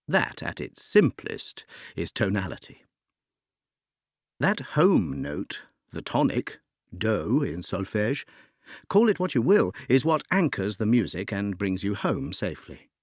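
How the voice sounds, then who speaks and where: Expressive, lower-pitched male narrator. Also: measured pace, no room sound, studio quality. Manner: articulate, expository, rhetorical phrasing. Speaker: narrator of a music introduction. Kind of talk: scripted educational narration.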